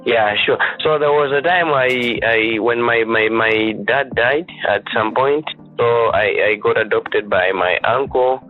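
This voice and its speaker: A man's voice: medium pitch, a little slow, few pauses. A young man in his twenties with a Malawian accent, answering interview questions as a student leader, often stalling and repeating words as he restarts his sentences. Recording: tinny phone-like line, faint music.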